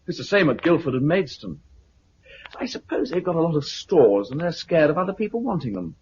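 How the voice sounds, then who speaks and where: A man with a British accent playing a brisk, confident recruiter for a survivors' community in a 1950s radio drama. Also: on the high side, big pitch swings, darkish tone. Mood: matter-of-fact, proud. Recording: muffled, noisy 1950s radio recording.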